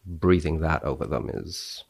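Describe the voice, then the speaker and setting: A man's voice: very deep voice, unhurried, creaky, darkish tone. A man, a researcher appearing as a podcast guest, reading studies dramatically and chatting about them.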